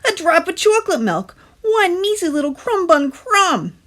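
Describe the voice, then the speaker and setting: A woman voicing a bossy, wisecracking sweet tooth in a children's storybook, loud and pleading as it craves candy. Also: very high-pitched, very animated, moderate pace, voice pushed up, heavily acted. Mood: wheedling, pleading. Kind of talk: animated picture-book character voice.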